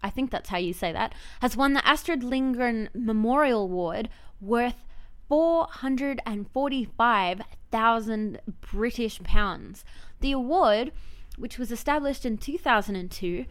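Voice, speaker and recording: A woman's voice: on the high side, lively intonation, a little slow, clean tone. A woman in her thirties with an Australian accent hosting a podcast solo in a chatty, wry, self-deprecating way. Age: 30s. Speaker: podcast host.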